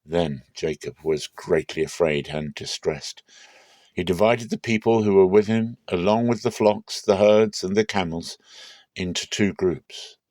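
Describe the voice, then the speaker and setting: A man reading scripture aloud dramatically, in a measured, solemn and expressive way. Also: sits low, dry recording. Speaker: Bible reader.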